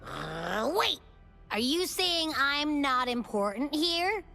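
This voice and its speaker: A feisty little companion creature from a video game, fussy and dramatic. The voice is high and bright, swinging widely in pitch at an unhurried pace. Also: female voice, very animated, vocal fry, faint music behind, heavily acted. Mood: indignant, fussy. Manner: scolding, exclamatory, dramatic. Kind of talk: animated game character acting.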